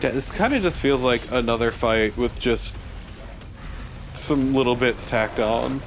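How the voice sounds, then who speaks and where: A man's voice: medium pitch, a little slow, soft background music. A man giving casual co-commentary on a video game in a conversational way. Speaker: let's play co-commentator.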